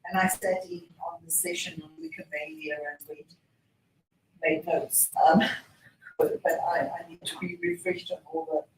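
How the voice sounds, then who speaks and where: Woman audience member, lower voice, casual, far from the mic. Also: big pitch swings, normal speed, gravelly. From South Africa. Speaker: audience participant. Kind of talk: casual spoken answers. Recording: distant, echoey, faint noise.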